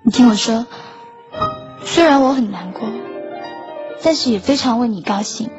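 A woman playing a teenage schoolgirl in an audio drama, narrating softly and wistfully. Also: fairly high pitch, moderate pace, close to an everyday voice. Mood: gentle. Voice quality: soft, wistful. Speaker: lead and narrator. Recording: dull lo-fi sound with loud music.

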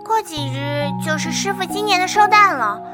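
Very high-pitched little girl. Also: lively intonation, moderate pace, loud music behind the voice. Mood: eager, earnest. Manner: childlike, innocent, eager. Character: little wild wolf-raised girl, eager and innocent. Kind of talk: Chinese period radio drama acting.

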